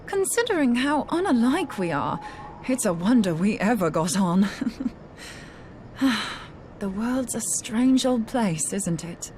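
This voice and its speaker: Spirited young scholar, plain-spoken and wry. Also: female voice, a little high, big pitch swings, slow pace. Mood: fond, reflective. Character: spirited young woman scholar who loves axes. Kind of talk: video game voice acting. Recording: clear background noise.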